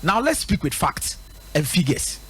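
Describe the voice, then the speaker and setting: A man's voice: fairly high pitch, big pitch swings, measured pace, a bit bright, faint music behind. A man with a Ghanaian accent giving emphatic football analysis on live radio, repeating his points rhetorically for effect. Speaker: radio sports pundit. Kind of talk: live football commentary and analysis, mixing local language and English.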